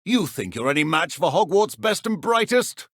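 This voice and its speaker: An actor playing a combative middle-aged wizard professor in a video game, forceful and taunting. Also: male voice, high-pitched, sing-song, normal speed, dry recording, studio quality, exaggerated voice. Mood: defiant.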